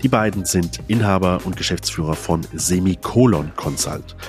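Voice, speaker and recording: A man hosting an interview podcast, greeting his guests warmly and inviting them to introduce themselves. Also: low voice, normal speed, faint music behind. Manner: friendly, addresses guests warmly. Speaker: podcast host.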